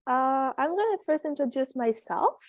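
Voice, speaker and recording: High-voiced teen girl, native French speaker. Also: animated, normal speed, no room sound, clean studio sound. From Belgium. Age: teens. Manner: hesitant, many ums and uhs, laughs easily. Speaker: presenter on a virtual tour. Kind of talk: online presentation on a video call. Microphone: computer mic on a video call.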